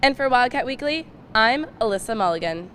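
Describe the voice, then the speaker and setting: A woman student reporter reading a scripted news story in a steady, even way. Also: fairly high pitch, fairly quick, smooth. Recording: noticeable background noise.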